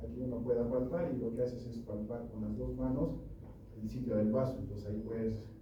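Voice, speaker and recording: A man's voice: medium pitch, little pitch movement, fairly quick, warm tone. A man giving an informal medical lecture, talking conversationally and often checking in with his listeners. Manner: conversational, keeps asking "¿no?" and "¿ya?". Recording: echoey room from far off, noisy.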